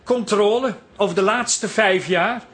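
An actor in a Dutch radio comedy playing an eager, flattering, smooth-talking man who soothes and helps. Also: sits high, unhurried. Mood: helpful, persuasive.

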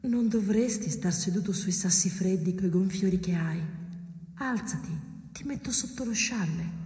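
An actress playing a solicitous, faintly detached and teasing wife in a radio drama, coaxing softly and slipping into breathy whispers. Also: female voice, medium pitch, a little slow, close to an everyday voice. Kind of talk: theatrical radio drama dialogue. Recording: echoey reverberant sound with clear background noise.

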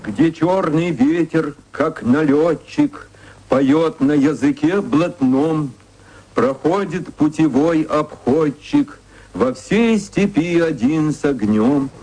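A man reading a poem in a slow, solemn, chanting way, played from an old archive tape. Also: a little high, warm tone, rough recording.

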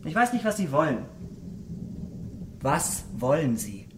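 An actor playing a vain, self-absorbed young gentleman in a German radio drama, speaking eloquently and theatrically. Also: a little high, very animated, measured pace, noisy background. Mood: impatient. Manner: theatrical, eloquent, declaiming aphorisms.

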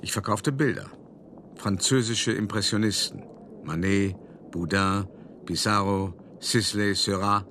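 An actor playing a calculating, urbane male art dealer who recounts his past deliberately and confidingly in a radio play monologue. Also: on the low side, fairly bright tone, slight background noise. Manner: deliberate, confiding, addressing the listener directly. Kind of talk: first-person dramatic monologue in a German radio play.

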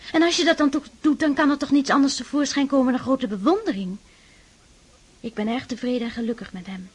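A devout, shy aristocratic sister in a radio drama. Her voice sits fairly high and is husky rather than clean. She speaks with tender earnestness, gently admonishing and pleading. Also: brisk. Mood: earnest, admonishing. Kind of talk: Dutch radio drama acting.

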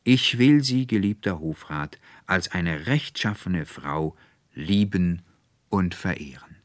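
A man's voice: low-pitched, animated, takes it slowly, no room sound. A man voicing an earnest, resolute young woman in an audiobook, declaiming emphatically in formal, old-fashioned phrases. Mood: solemn, resolute. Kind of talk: literary audiobook character dialogue.

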